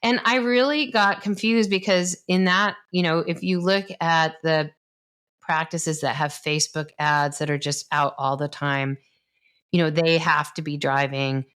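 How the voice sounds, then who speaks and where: Woman, 50s, low-pitched, enthusiastic physician-host who explains clearly. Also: fairly even intonation, moderate pace, a bit bright. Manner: enthusiastic, explains science plainly, laughs often. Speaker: doctor, podcast host. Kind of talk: casual conversation.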